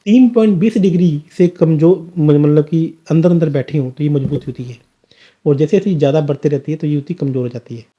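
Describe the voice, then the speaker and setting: A man's voice: a little high, fairly quick, dark tone, husky, muffled recording. A man explaining astrology on a podcast, with emphatic, teacherly rhetorical questions and a slightly sore throat. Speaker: astrologer guest.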